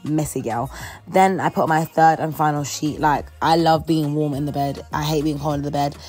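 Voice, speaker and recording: A woman's voice: low voice, fairly even intonation, normal speed, darkish tone, faint music behind. A woman in her early twenties with a London accent, chatting casually in a slangy, playful way as she narrates a vlog. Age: early 20s. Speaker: vlogger.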